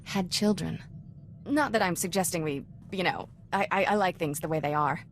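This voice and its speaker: Woman playing a wry, low-pitched Jedi companion. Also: normal speed, slight background noise, close to an everyday voice. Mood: teasing, flustered. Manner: casual, clipped, teasing. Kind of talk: video game dialogue acting.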